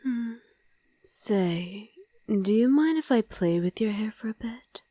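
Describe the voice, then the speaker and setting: Soft, mellow whispering vampire wife, acted by a woman. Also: medium pitch, expressive, slow pace, no room sound, studio quality. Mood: playful, loving. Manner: soft, breathy, often whispering, affectionate. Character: tender, doting, playfully teasing female vampire wife. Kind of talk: intimate ASMR roleplay.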